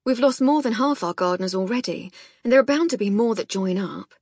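A woman's voice: a little high, expressive, brisk, a little mellow, dry recording, clean studio sound. A woman narrator playing a kind, patient younger man, an assistant who is amused and persuasive, in an audiobook.

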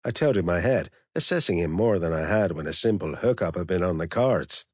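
A man's voice: low voice, lively intonation, fairly quick, a little mellow, no room sound, studio quality. A man narrating a first-person novel in a blunt, profane, wry manner. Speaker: audiobook narrator.

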